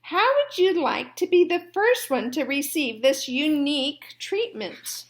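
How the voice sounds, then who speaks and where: A woman's voice: high voice, expressive, measured pace, pitched above the performer's own voice. A woman reading a storybook as a firm, wary male mouse dentist who speaks emphatically. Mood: professional assurance.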